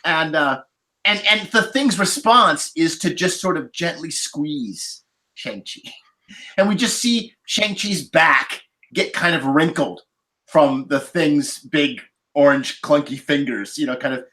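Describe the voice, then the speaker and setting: A man's voice: fairly high pitch, slow. A man chatting casually on a podcast panel, telling stories with many asides. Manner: animated storyteller, many asides. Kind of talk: casual anecdotal chat.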